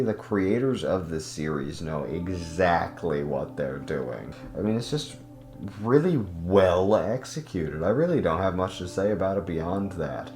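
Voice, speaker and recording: Male video essay host, deep register, casual and emphatic, sweary, with music playing underneath. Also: darkish tone, echoey room. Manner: informal, emphatic, repeats intensifiers, swears casually.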